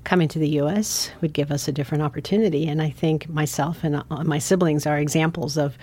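Low-voiced woman, foundation CEO guest. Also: steady tone, quick pace, dark tone, slightly rough. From San Francisco, United States. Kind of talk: interview answers.

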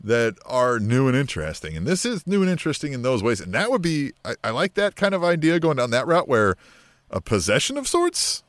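Podcast cohost dude thinking out loud, mid voice that jumps around a lot, dry mic. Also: male voice, medium pitch, huge pitch swings, a little fast, no echo. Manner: rambling, speculative, lots of fillers. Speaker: podcast co-host. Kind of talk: casual wrestling chat.

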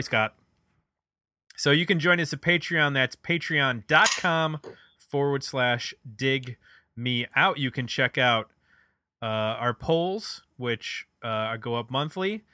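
A male podcast host with a bright, forward voice. He talks casually and conversationally, thinking aloud as he reviews music. The recording is close and dry, with very little room around him. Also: medium pitch, dry recording.

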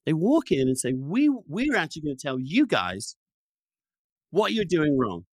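A man's voice: fairly high pitch, very animated, normal speed, dry recording, clean studio sound. A man hosting a podcast, giving opinionated conversational commentary and often repeating and restarting his phrases. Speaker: podcast host.

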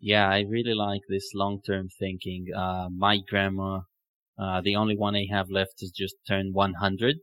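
A man's voice: low-pitched, completely flat delivery, measured pace, fairly bright tone, dry recording, clean studio sound. A man hosting a reflective conversational podcast, speaking thoughtfully and feeling his way toward his words. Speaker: podcast host.

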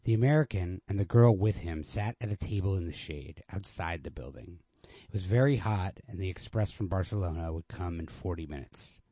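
A man with a low, mellow voice, recorded close and dry. He sounds informal and unrehearsed, like a host casually reading a story aloud. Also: normal speed, no room sound. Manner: informal, self-deprecating, unrehearsed.

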